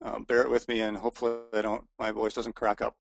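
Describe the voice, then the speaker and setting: Gravelly man with a tired voice. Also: lowish voice, monotone, fast, lo-fi sound, faint music behind. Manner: hesitant, many restarts and fillers, self-deprecating. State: voice wearing out. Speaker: resort co-owner presenting a webinar. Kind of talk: informal sales presentation.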